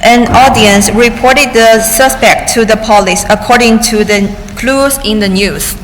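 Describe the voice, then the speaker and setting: A woman interpreting testimony into English at a public hearing, halting at times, with restarts and cut-off words. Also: on the high side, a little hiss or hum. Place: large public hearing chamber. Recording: echoey hearing room with faint ambience.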